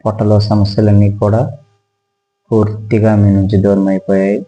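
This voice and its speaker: Low, even-toned man guiding relaxation. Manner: slow, calm, soothing instruction with pauses. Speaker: yoga instructor. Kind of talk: guided relaxation meditation.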